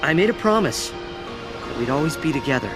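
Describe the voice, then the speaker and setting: A man's voice: on the high side, expressive, normal speed, music in the background, lightly acted. A man acting an earnest, lovelorn but determined young man in an audio drama, with a boyish manner.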